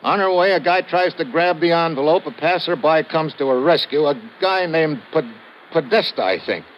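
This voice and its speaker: An actor playing a tough, dogged American investigator in an old radio drama, talking and narrating in a clipped, slangy way. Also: male voice, a little high, expressive, normal speed, natural voice. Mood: urgent. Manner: clipped, slangy, hard-boiled. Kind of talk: 1950s radio drama acting with first-person narration. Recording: muffled, noisy old radio recording.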